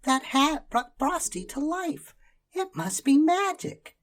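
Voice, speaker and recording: Brief: little girl in a storybook , as a reader puts her on, very high, eager, husky edge. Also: normal speed. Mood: earnest. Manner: high, excited, insistent.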